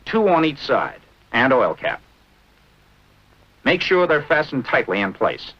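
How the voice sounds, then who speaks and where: An American man narrating a 1940s military training film in a brisk, instructive manner with clipped short phrases. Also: medium pitch, big pitch swings. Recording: dull, band-limited vintage film soundtrack.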